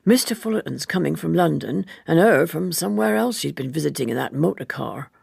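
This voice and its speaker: A woman reader puts on a sniffy English housekeeper from a period novel, a woman who gossips with pointed disapproval. Her voice is husky and creaky, her phrasing huffy and colloquial. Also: sits low, lively intonation, fairly quick, darkish tone, no room sound, exaggerated voice.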